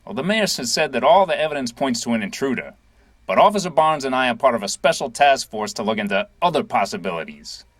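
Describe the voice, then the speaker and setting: An actor playing a brisk police sergeant with an insistent, official manner in an audio drama. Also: male voice, medium pitch, sing-song, no room sound. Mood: businesslike. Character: brisk male police sergeant questioning witnesses.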